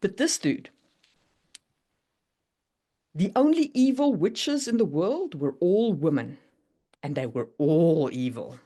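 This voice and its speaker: A woman chatting casually and opinionatedly, in an animated way, as on a writing podcast. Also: lowish voice, takes it slowly, long pauses. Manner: animated, opinionated, laughs readily. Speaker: podcast guest discussing writing. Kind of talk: casual chat.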